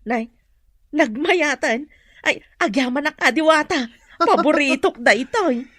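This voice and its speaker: A woman acting as a chatty, caring village wife. Her voice is fairly high, with an Ilocano accent, recorded close and dry for radio drama. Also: expressive, slow, fairly bright tone, no room sound, exaggerated voice. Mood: friendly.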